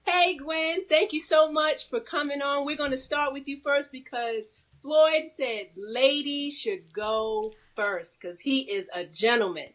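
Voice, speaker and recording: High-voiced, playful woman co-hosting a talk show. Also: a little slow, a bit bright. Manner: playful, exuberant, informal. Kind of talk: lively live talk show chat, reading a guest bio.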